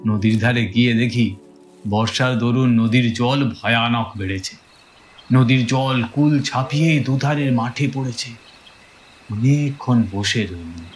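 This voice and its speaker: Guy acting as village schoolmaster narrating a spooky story, medium male voice, measured with little pauses, soft music under it. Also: medium pitch, lightly acted. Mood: reflective. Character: male village schoolteacher recounting his eerie experience. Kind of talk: dramatised first-person audio story narration.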